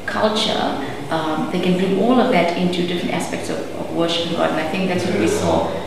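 Measured woman, roomy echo. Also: lowish voice, gravelly. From South Africa. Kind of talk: interview answers. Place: room indoors. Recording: echoey room, distant mic, hiss.

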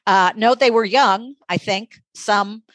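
A mature woman speaking as an interview guest, thoughtful and conversational, halting and reflective as she pauses mid-sentence. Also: mid-range voice, a bit bright, no echo. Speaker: interview guest, audiobook magazine editor.

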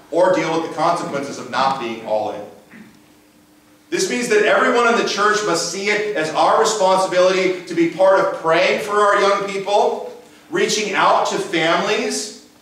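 Pastor with a big voice in an echoey church. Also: male voice, high-pitched, a bit bright, recorded from a distance. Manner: conversational preaching with rhetorical questions. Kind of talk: sermon. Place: church sanctuary. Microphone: far camera, room mic. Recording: echoey church sanctuary, mic from afar.

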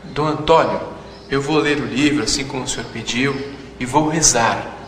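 A man's voice: medium pitch, moderate pace, fairly bright tone, lightly acted. A man acting an earnest priest, echoey hall. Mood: respectful, earnest. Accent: Brazilian. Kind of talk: radio drama acting. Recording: echoey hall with background noise.

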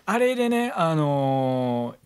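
A man's voice: fairly high pitch, big pitch swings, takes it slowly, clear, resonant tone. A young man with a Kansai accent, chatting casually as a radio co-host in a small studio. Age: young adult. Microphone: studio tabletop microphone with headphones.

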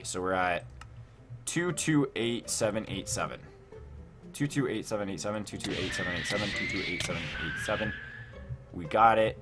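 A man hosting a casual gaming let's play, thinking aloud in an excitable, repetitive way. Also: fairly low pitch. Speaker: gaming video host. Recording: music behind the voice.

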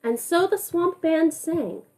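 A woman with a high voice leads a children's story time. She speaks slowly, in an encouraging teacher's manner. She moves between reading the storybook and asking the listeners simple questions. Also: expressive, darkish tone, low-quality recording. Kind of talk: storybook read-aloud with questions to a group of children.

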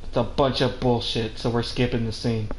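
A man's voice: mid-range voice, little pitch movement, moderate pace. A man streaming a game, chatting casually and jokingly and reading dialogue aloud as an amateur. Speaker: streamer voicing game cutscenes. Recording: roomy echo, faint background noise.

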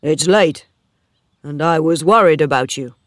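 A woman voicing an earnest, worried young male farm worker in love, calm, sad and sincere, as storybook dialogue. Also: sits low, measured pace, dry recording, clean studio sound. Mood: worried, cautioning.

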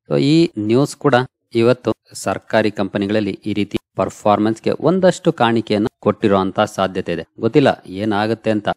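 A man's voice: fairly low pitch, a little mellow, dry recording, studio quality. A man hosting a stock market channel, walking viewers through a news article shown on screen in a plain explaining manner. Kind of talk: screen-share explainer talk.

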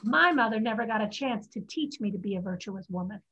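A woman's voice: mid-range voice, animated, fairly quick, pushed and bright, husky. A woman playing a defiant fifteen-year-old girl raised as a boy, in an earnest staged play reading. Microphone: video call. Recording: dull video call audio.

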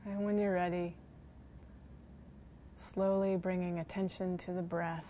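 A woman guiding a body scan meditation in a gentle, soothing manner, leaving long pauses between phrases. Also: lowish voice, little pitch movement, slight background noise. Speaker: meditation guide.

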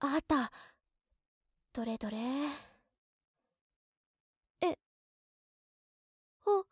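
A woman's voice: very high-pitched, no echo, studio quality, exaggerated voice. A woman playing an overworked, emotional office worker in her early twenties, talking to herself in an audio drama monologue. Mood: shocked, upset.

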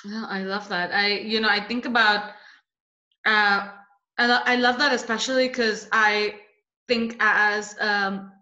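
A young woman interviewer asking searching, halting questions full of fillers, heard over a video call. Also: mid-range voice, steady tone, sharp tone, echoey room. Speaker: interviewer, poet. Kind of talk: casual interview. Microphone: laptop webcam on video call. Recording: dull lo-fi video call audio.

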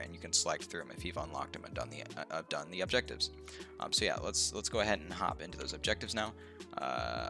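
A young man with a deep, low voice that sounds stuffed up and a little nasal. His tone is bright and casual, full of ums and uhs as he talks into a desk mic. Faint music plays behind him. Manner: relaxed, rambling, lots of ums and uhs. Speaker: gaming youtuber. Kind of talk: casual game walkthrough. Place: home room, indoors.

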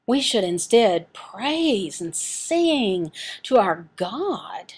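A woman voicing a signed sermon as an interpreter, speaking deliberately, phrase by phrase with pauses. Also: mid-range voice, big pitch swings, husky. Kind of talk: sermon and prayer, voiced from sign language.

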